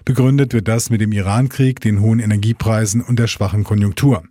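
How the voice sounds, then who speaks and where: Man, radio news anchor, standard German accent, scripted reading, mellow tone, dry sound. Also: on the low side, steady tone, brisk, no room sound.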